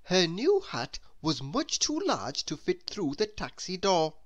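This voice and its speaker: A woman's voice: lowish voice, huge pitch swings, unhurried. A woman reading a children's storybook aloud, expressively and as if speaking straight to young listeners.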